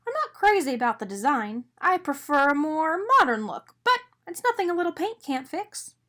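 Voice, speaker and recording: A woman voicing an excitable, dramatic little male doughnut in a children's storybook, full of exclamation. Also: high voice, normal speed, voice pushed up, full character voice. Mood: pleased.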